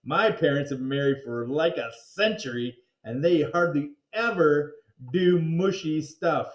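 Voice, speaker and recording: Expressive man reading aloud in an echoey room. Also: a little high. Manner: expressive, with drawn-out words and interjections. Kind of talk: animated read-aloud of a children's chapter book. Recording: roomy, echoing sound.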